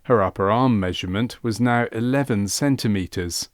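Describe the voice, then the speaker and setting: A man reading scripted documentary narration for a medical training film in a measured, factual manner. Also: lowish voice, dry recording, studio quality. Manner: measured, factual delivery of clinical case history.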